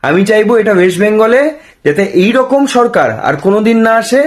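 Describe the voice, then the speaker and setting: A man's voice: high voice, lively intonation, fast talker. A man with a West Bengal accent giving opinionated political commentary, challenging with rhetorical questions and dropping in English words. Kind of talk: opinionated news commentary to camera.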